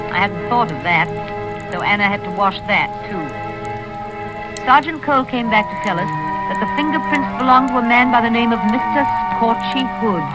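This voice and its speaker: A woman's voice: fairly high pitch, natural voice, poor audio. Actress as elderly wealthy woman, haughty, prim, imperious, vintage radio drama, musical backing. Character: haughty, wealthy old lady in a wheelchair, prim and defiant. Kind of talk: 1940s radio acting, first-person narration and dialogue.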